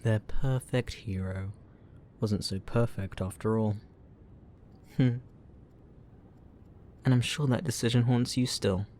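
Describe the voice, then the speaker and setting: A man playing a gloating, obsessive supervillain in a roleplay ASMR audio drama, teasing and possessive, with a theatrical, drawn-out delivery. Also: fairly low pitch, fairly even intonation, mellow, slight background noise. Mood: smug, menacing.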